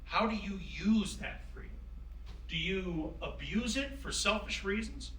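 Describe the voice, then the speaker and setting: Man acting as genial wise founding father, courtly measured phrasing, high bright voice, staged reading, distant roomy recording. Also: big pitch swings, slight background noise, heavily acted. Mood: earnest, probing. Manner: courtly, old-fashioned phrasing, measured and avuncular. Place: room at a live staged reading.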